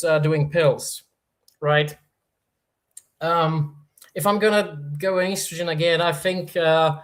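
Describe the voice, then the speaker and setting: A man from Poland with a Polish accent touched by Norwegian, hosting a casual livestream Q&A and reading chat comments, hesitant with many fillers and restarts. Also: on the high side. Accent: Polish, with Norwegian influence. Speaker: livestream host.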